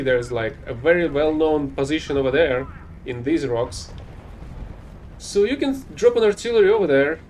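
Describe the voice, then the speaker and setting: A man's voice: medium pitch, darkish tone, a little hiss or hum. A young man who speaks English as a second language, rambling and correcting himself as he casually commentates his own gameplay. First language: not English. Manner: rambling, self-correcting, mildly exasperated. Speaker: gameplay streamer.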